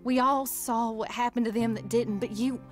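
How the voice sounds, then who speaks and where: An earnest, wounded frontier mother, acted by a woman, with a rural Western American accent and a fairly high voice. She reads a letter as in a game drama, with clear music underneath. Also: fairly even intonation, moderate pace, lightly acted. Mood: sorrowful, resolved. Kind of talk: letter read aloud in video game drama. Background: instrumental music.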